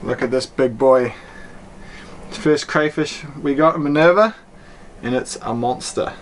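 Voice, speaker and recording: A man in his thirties chats casually to camera with a medium-pitched voice. He is recorded a short way from the mic on a boat, with some noise behind him. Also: moderate pace. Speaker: sailing vlogger. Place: small cabin and deck of a sailboat at sea. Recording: clear boat and outdoor background noise.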